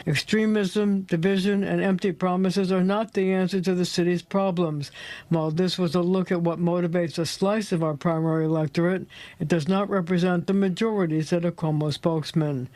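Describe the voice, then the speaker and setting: A man narrating a news report in a measured, clipped newsreader delivery. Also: high voice, steady tone, darkish tone, dry recording.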